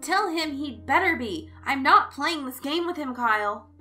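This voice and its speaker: A woman's voice: sits high, animated, moderate pace, bright, forceful tone, pitched above the performer's own voice. A woman voicing a young female college student in a story, earnest, concerned and assertive. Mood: insistent, annoyed. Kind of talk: acted story dialogue. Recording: music behind the voice.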